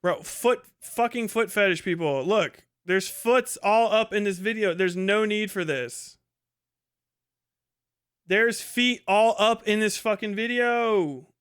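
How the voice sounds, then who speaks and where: A man's voice: high voice, takes it slowly, fairly bright tone, clean tone. A man hosting a casual livestream commentary, swearing heavily in an exasperated, incredulous way. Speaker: livestream host.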